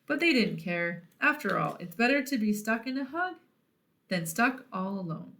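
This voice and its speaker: A woman narrates a story for young children. Her voice sits a little high and moves up and down in an animated way. The delivery is expressive picture-book reading, addressed to boys and girls. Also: normal speed. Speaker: children's read-aloud host.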